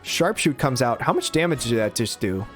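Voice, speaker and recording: A man in his thirties giving quick, excited play-by-play of a game on a headset mic, his voice hoarse from a cold. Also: mid-range voice, over music. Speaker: game caster. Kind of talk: play-by-play commentary.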